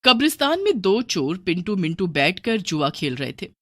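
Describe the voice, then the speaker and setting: Expressive woman storyteller, lower-pitched. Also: moderate pace, no echo, clean studio sound. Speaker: narrator. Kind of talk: scripted story narration for a Hindi horror cartoon.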